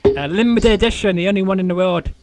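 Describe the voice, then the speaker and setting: A man hosting a casual interview show, chatty and rambling, with a croaky voice. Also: high voice, expressive, fast, a little hiss or hum. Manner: rambling, chatty, many fillers. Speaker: podcast host.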